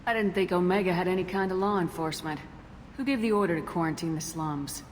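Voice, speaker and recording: An actress playing an assertive human adventurer in a video game, direct and questioning. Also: female voice, fairly low pitch, fast talker, lightly acted. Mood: probing, direct. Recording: long reverb, some noise.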